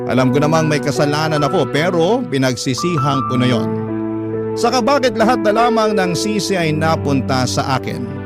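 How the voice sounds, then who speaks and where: Male radio storyteller, somewhat high-pitched, dramatic letter reading under prominent music. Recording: loud background music.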